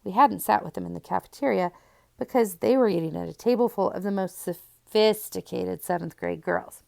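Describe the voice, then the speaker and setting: A woman reading a children's storybook aloud in an expressive first-person narration. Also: mid-range voice, moderate pace, gravelly. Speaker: audiobook reader.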